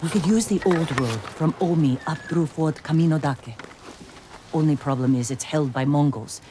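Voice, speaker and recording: Low-voiced, tough outlaw woman played by an actress. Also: moderate pace, background noise, lightly acted. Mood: determined. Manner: blunt, direct, terse. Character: tough, loyal, plain-spoken outlaw woman, fiercely practical. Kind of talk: dramatic video game cutscene acting.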